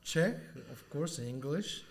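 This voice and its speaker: A man's voice: fairly high pitch, expressive, takes it slowly, a loud crowd. A man hosting a podcast interview, chatting casually and jumping in with comments. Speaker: podcast host.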